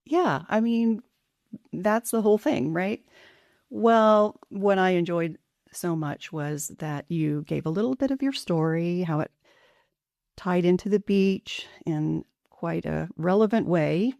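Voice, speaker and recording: A woman hosts a podcast, opening with a gentle, reflective introduction before easing into conversation. Her voice is low and mellow in tone. The recording is dry and close. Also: slow, gravelly, dry recording, highs cut off. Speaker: podcast host.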